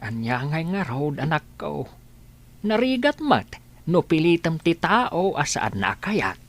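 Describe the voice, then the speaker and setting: A woman playing a gentle, consoling elderly father in a radio drama, with a husky voice and tender, sighing delivery. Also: deep voice, big pitch swings, slow pace, exaggerated voice. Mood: resigned.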